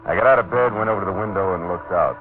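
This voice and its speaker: An actor playing a weary, wry, hard-boiled private detective in clipped 1940s radio noir style, both in dialogue and narration. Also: male voice, low-pitched, rapid-fire, natural voice. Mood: brooding. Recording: noisy, muffled old radio broadcast.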